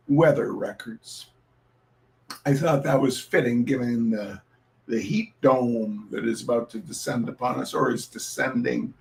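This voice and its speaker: Animated, high-pitched man reading comic essays. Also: vocal fry. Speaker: reader of humorous essays.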